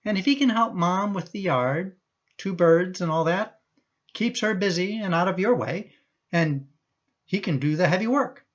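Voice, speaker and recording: A woman's voice: lowish voice, expressive, moderate pace. A woman playing a harried middle-aged husband and father in a radio play, in a hesitant, easily exasperated manner. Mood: placating, hesitant. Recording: dull, muffled recording.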